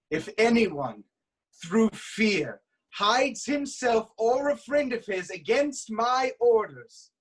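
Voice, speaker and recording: A man playing a proud, commanding king of ancient Thebes in a staged reading of Greek tragedy, declaiming deliberately. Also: high-pitched, poor audio.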